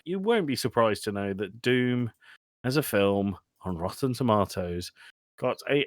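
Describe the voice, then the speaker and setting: A man's voice: lowish voice, animated, unhurried, no room sound, studio quality. A man hosting a podcast, chatting casually and jokingly about films. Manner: joking, conversational. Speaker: podcast host. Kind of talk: casual film discussion.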